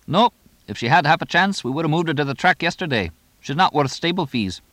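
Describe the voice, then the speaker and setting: A man voicing a fast-talking English horse trainer in an audiobook, drily blunt, chatty and deadpan in a clipped way. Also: on the high side, no room sound, pitched above the performer's own voice. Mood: brisk, blunt. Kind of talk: audiobook character dialogue.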